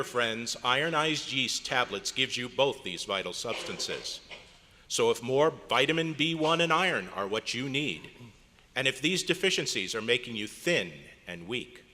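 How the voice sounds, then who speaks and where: A man's voice: mid-range voice, pushed and bright, exaggerated voice. A man playing an old-time radio announcer, dramatic and persuasive, in a live recreation of a 1940s broadcast. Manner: dramatic, emphatic, salesmanlike. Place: large hotel meeting room at a convention. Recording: echoey hall, live stage performance.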